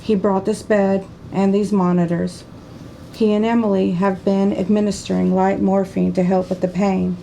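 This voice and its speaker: A man voicing a gentle, grieving older mother with a slow Southern accent, speaking softly through held-back tears in an audiobook. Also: high voice, level tone, gravelly, a little hiss or hum, pitched above the performer's own voice, poor audio. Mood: tender, grieving. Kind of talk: audiobook character voice.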